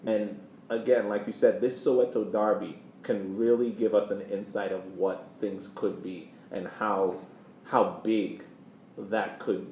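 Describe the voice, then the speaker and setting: A man with a rather low voice chats as a podcast host. He is emphatic, often repeating a phrase to drive it home. The sound is echoey, with faint noise in the background. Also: little pitch movement, a little slow, darkish tone. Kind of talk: casual soccer podcast discussion.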